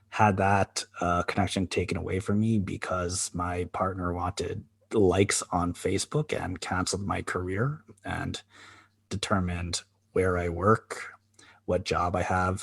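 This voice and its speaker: Low gravelly man, halting and reflective. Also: no room sound. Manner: halting, reflective, many ums and restarts. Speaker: podcast co-host. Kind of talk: candid conversational chat.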